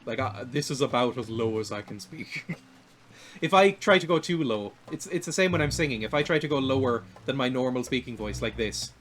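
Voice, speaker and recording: A young man in his twenties with a light, naturally high voice, rambling and laughing at himself while he streams a video game. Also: animated, fairly quick, fairly bright tone, slight background noise. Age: 20s. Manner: rambling and self-deprecating, laughs often. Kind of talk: casual chat while playing a video game.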